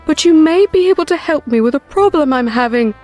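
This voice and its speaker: An actress playing a composed, earnest woman who retrieves occult books, with measured delivery in an audio drama. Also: sits high, animated, a little mellow, soft background music. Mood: composed, guarded.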